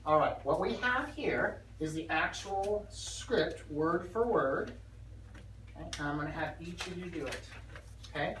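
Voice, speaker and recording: A woman's voice: deep voice, measured pace, a bit bright. A woman working as a consultant, training staff hands-on through role-play in a directive way, drilling phrases and often checking they understand. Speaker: dental practice consultant and trainer. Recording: roomy, distant mic with background noise.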